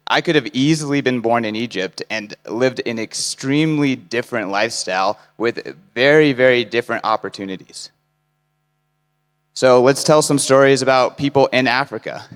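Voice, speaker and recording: Brief: young male keynote speaker, smooth clear voice, earnest pitch to a crowd, big hall echo. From United States. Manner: earnest, persuasive, lists points in short phrases. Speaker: conference speaker. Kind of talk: conference keynote talk. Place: large conference hall. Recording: hall mic with long reverb.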